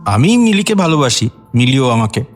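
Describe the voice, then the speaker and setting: An actor playing a young intellectual poet in a radio drama, articulate and self-possessed, mixing in English words. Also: male voice, mid-range voice, big pitch swings, normal speed, faint music behind, natural voice. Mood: firm, defensive.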